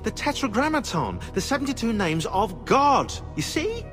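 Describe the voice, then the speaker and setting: An actor playing a sarcastic, excitable know-it-all historian in a video game, eagerly explaining. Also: male voice, extremely high pitch, moderate pace, faint music behind, heavily acted. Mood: excited, smug. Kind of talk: video game dialogue acting.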